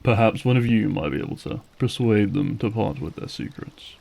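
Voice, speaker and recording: Ancient male vampire , man acting, audio roleplay: dark gravelly voice, weary, brooding, courteous threat. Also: on the low side, lively intonation, heavily acted. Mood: cold menace. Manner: slow, brooding, formal speech. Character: weary, bitter male vampire, centuries old, politely menacing.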